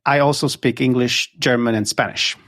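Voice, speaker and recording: A man's voice: medium pitch, fairly even intonation, normal speed, fairly bright tone. A man from Poland with a Polish accent, a guest on a podcast, explaining ideas eagerly with examples. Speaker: guest, app founder. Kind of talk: casual interview chat.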